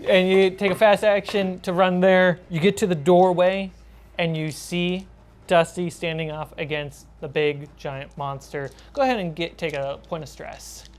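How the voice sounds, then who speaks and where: A woman's voice: fairly low pitch, fairly even intonation, measured pace, a little mellow. A woman running a tabletop role-playing game, narrating the action and prodding players in a casual, teasing, sweary way. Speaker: game master.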